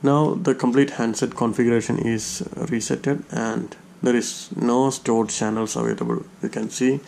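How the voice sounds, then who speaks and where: A man's voice: mid-range voice, animated, moderate pace, creaky, a little mellow, a little hiss or hum. A man presenting a step-by-step hands-on tutorial, often searching for his words as he explains.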